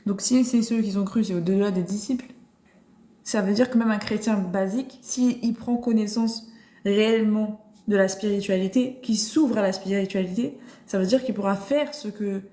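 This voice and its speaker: A woman's voice: mid-range voice, moderate pace, dark tone. A woman hosting a casual live-stream Bible discussion, thinking aloud with many restarts and fillers. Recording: roomy echo.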